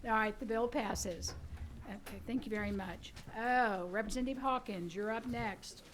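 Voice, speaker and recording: A female committee chair keeping a hearing moving, her voice a bit high. She speaks briskly and procedurally, with a faint hum behind her. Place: legislative committee room.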